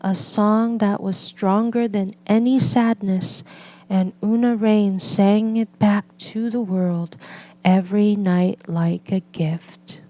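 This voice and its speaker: A woman reading a picture book aloud as a storyteller, in gentle, deliberate phrases with drawn-out pauses. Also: mid-range voice, fairly even intonation. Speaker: read-aloud storyteller for children and English learners. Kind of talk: slow scripted picture-book narration. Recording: slightly dull, lo-fi recording.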